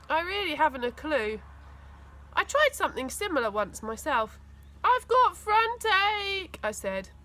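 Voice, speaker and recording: A woman reading a children's story aloud in an expressive, dramatic way. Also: on the high side, a little hiss or hum. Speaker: school teaching assistant reading aloud.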